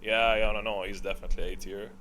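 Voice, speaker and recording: A man's voice: lowish voice, level tone, rapid-fire, pushed and bright, a little hiss or hum, low-quality recording. A man giving casual, off-the-cuff gaming commentary, thinking aloud in a rambling, blunt way.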